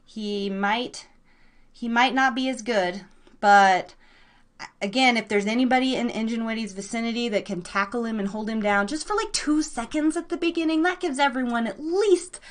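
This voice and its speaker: An excitable, bubbly woman chatting casually and slangily as she hosts a live internet radio show. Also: fairly high pitch, moderate pace. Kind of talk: casual rambling livestream chat with an online audience.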